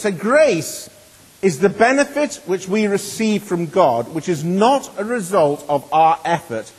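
Middle-aged male preacher, high husky voice, earnest, with a long church reverb. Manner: earnest, emphatic, swings between loud and hushed. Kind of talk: sermon. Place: church hall.

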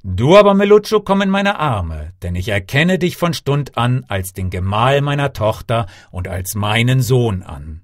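A man's voice: medium pitch, big pitch swings, measured pace, few pauses, no echo, clean studio sound. A man voicing a sorcerer father and grandfather in a storybook, solemn, declamatory and authoritative. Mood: forgiving. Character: stern sorcerer father, grandfather, punishing then forgiving.

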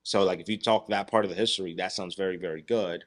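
Man, 30s, very low voice, measured explainer, podcast studio. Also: fairly even intonation. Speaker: podcast co-host. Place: dark studio room.

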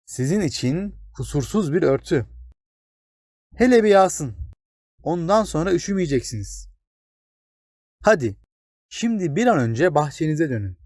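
A man's voice: on the high side, big pitch swings, measured pace, pauses often, a little mellow, no echo, clean studio sound, full character voice. A man voicing an old, wise, towering plane tree in a children's storybook, kindly but grave, explaining things patiently. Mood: gentle, encouraging. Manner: explains patiently, exclaims and addresses small listeners. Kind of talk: children's storybook character reading.

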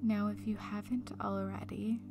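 Slow, soft, breathy woman guiding meditation. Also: medium pitch. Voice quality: soft, breathy, often near whisper. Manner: calm, soothing, gentle, with long pauses. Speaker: meditation guide, breakup coach. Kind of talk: guided meditation narration. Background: music.

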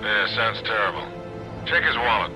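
A middle-aged treasure-hunting sidekick with an American accent. He is gruff, dry and skeptical, talking like an old partner in a cinematic adventure game. Music is clearly heard behind the voice. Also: fairly low pitch, big pitch swings, moderate pace. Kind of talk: naturalistic cinematic game acting.

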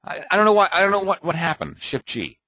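A man thinking aloud and muttering to himself as he clicks through an off-the-cuff software tutorial at his computer. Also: high-pitched, very animated, fast, dry recording. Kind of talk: off-the-cuff screen recording tutorial.

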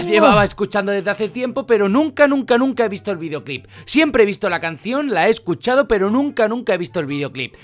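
A man's voice: sits high, a bit bright. A man in his twenties with an accent from Spain, doing casual, jokey reaction commentary as a YouTuber and repeating words for effect. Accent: Spanish (Spain). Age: 20s. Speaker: YouTuber host. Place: small bedroom, indoors. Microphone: desk condenser microphone, close.

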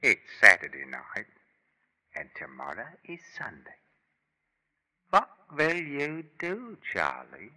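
A menacing prisoner in a vintage radio play. His low voice is hard-edged and moves up and down a lot as he taunts. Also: huge pitch swings, takes it slowly, pauses often, sharp tone, exaggerated voice, low-quality recording. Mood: menacing, taunting. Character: menacing, taunting jailed man bargaining for his freedom. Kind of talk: 1950s radio drama acting. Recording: vintage 1950s radio recording.

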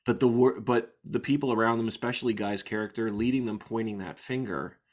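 A middle-aged man interviewing a guest over a video call, asking soft-spoken, halting questions. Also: on the low side.

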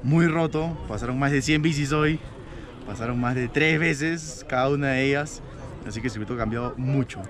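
A man, a downhill racer, talking excitedly about the course after a run. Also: medium pitch, moderate pace, a crowd in the background. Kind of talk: casual post-race talk.

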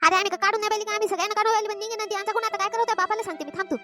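A woman's voice: very high-pitched, fairly even intonation, rapid-fire, over loud music. A woman voicing a bossy, nagging village grandmother in a cartoon comedy, with a rural Maharashtrian accent. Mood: scolding. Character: scolding, exasperated village grandmother. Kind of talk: Marathi cartoon comedy dialogue.

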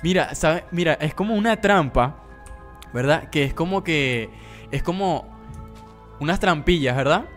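Casting: young male gamer, higher pitch, excitable and playful, casual banter over background music. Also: measured pace, long pauses. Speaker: gaming video host, player. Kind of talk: casual gameplay chat with friends.

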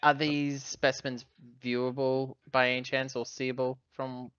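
A man's voice: mid-range voice, little pitch movement, unhurried, a bit bright, smooth, no echo, highs cut off, close to an everyday voice. A man playing a courteous, inquisitive ship's officer in a tabletop game, speaking politely. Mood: politely probing.

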